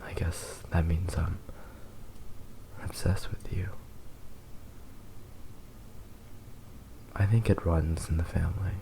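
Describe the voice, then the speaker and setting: A man playing a possessive, doting fox-boy demon lover in a tender, hushed ASMR roleplay, with lingering pauses. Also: deep voice, little pitch movement, vocal fry, background noise. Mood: obsessively smitten.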